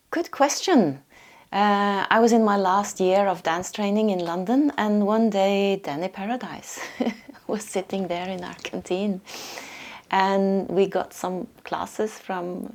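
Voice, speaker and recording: A middle-aged woman from Norway with a Norwegian accent, a yoga teacher speaking softly and thoughtfully in a portrait interview, pausing to find her words. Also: mid-range voice. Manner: soft-spoken, thoughtful, pauses to search for words. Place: yoga retreat.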